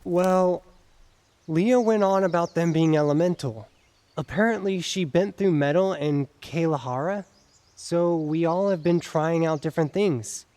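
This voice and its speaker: A man's voice: a little high, a little slow, dark tone, big-room sound, natural voice. A man playing a plain-spoken soldier in an audio drama, explaining things casually to a superior. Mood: matter-of-fact. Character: young male soldier, plain-spoken, reporting to captain.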